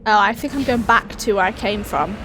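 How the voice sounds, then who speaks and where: A woman with a cold and a raspy, hoarse voice, chatting casually as she plays a video game and thinks aloud. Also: medium pitch, fairly quick. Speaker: let's play host. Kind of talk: casual gameplay commentary. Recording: voice over steady game engine noise.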